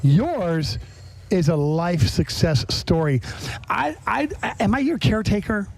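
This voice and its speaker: A man's voice: high-pitched, lively intonation, unhurried, vocal fry. A middle-aged man hosting a podcast, chatting casually in a rambling, self-interrupting way. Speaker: podcast host.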